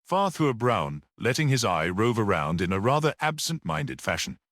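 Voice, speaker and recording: Guy reading an old detective story, lowish voice, dry close sound with no room. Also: big pitch swings, normal speed, fairly bright tone, dry recording. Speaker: audiobook narrator. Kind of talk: scripted narration of a mystery story.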